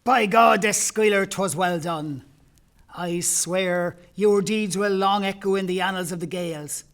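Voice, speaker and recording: A man's voice: sits high, unhurried, a bit bright. A man playing a commanding, suspicious Gaelic chieftain in an audio play, with an imperious, emphatic delivery. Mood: admiring. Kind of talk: audio play, declaimed dialogue.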